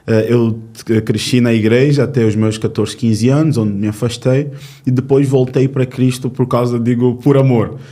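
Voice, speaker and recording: A man in his thirties from Angola, a youth ministry leader, telling his faith story in an earnest, storytelling way with filler pauses in a podcast interview. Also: medium pitch, steady tone, mellow. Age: 30s.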